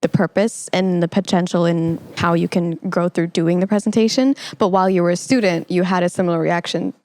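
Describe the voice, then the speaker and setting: A woman's voice: on the low side, fairly even intonation, fairly quick, a little mellow. A young woman hosting a conversational podcast interview, speaking in an effusive, encouraging way. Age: young adult. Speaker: podcast host.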